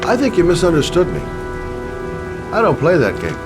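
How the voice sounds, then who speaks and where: An actor playing a private investigator who stays polite and firm under pressure. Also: male voice, fairly high pitch, wildly swooping pitch, normal speed, music in the background. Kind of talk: video game cutscene acting.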